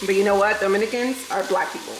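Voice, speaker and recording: A woman's voice: mid-range voice, fairly even intonation, fast talker, echoey room, a little hiss or hum, rough recording. A woman in her thirties from New York City, a native Spanish speaker with a New York accent touched by the South, chatting casually with viewers while she cooks in her home kitchen. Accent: New York with a Southern lilt. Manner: chatty, laughs often, talks to viewers as "y'all". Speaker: vlogger. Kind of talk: casual chat while cooking. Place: home kitchen with food frying.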